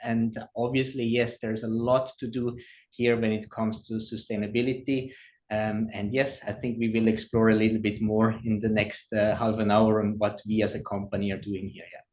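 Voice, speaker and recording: A man's voice: lowish voice, flat delivery, normal speed, highs cut off. A man in his forties with a Swiss accent, a podcast guest speaking with frequent ums and uhs. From Switzerland. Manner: hesitant, many ums and uhs. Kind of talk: podcast interview.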